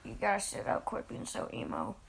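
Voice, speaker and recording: A woman's voice: fairly quick, slight background noise. A young woman co-hosting a podcast, chatty and bossy, cutting in with casual comments. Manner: bubbly, bossy, chatty interjections. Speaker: podcast co-host. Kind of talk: casual chat.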